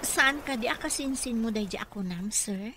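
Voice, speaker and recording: A woman's voice: fairly high pitch, animated, normal speed, close to an everyday voice. An actress with an Ilocano accent playing a devoted, respectful female physical therapist in a radio drama. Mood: concerned, inquiring. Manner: earnest, respectful. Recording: noisy radio drama recording.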